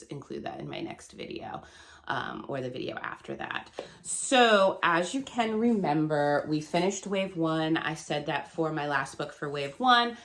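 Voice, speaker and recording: A woman in her thirties chatting casually to camera as a book vlogger, her voice still gravelly and nasal from a cold, rambling and laughing often. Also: low voice, fairly bright tone. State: hoarse and stuffy from a cold.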